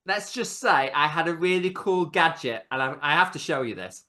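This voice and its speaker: A man's voice: on the high side, expressive, normal speed, pushed and bright. A man hosting a casual video-call cooking chat, joking about himself and laughing a lot. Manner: chatty, laughs often, self-deprecating. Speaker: host.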